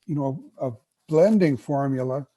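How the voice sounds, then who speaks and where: A man speaking as a guest whisky expert in a casual interview, emphatic and knowledgeable, often clearing his throat. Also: medium pitch, moderate pace, mellow.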